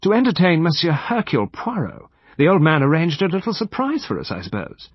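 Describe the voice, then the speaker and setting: A man's voice: mid-range voice, sing-song, slightly rough, no room sound, lo-fi sound, pitched above the performer's own voice. Man putting on an arch, drawling young society lady. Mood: arch, bored. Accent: upper-class English. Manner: slow affected drawl, arch. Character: proud young society woman, affected drawl.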